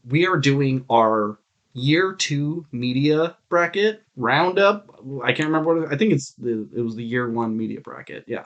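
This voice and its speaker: Male podcast co-host, mid-pitched, casual and jokey, tossing in mock quotes during film chat. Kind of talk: casual banter about films and TV.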